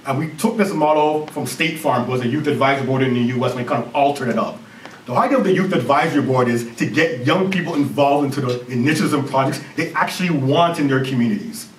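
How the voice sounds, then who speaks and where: A man in his thirties with a Jamaican accent, a CEO giving an energetic conference presentation and joking with the audience. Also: medium pitch, fast, a little hiss or hum. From Canada. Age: 30s. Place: university lecture hall. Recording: roomy hall recording from far off.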